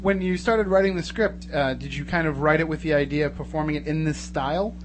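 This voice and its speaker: Chatty male radio host, fairly high voice, casual live interview delivery, clearly noisy humming studio recording. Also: a little fast. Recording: radio studio, loud noise and hum.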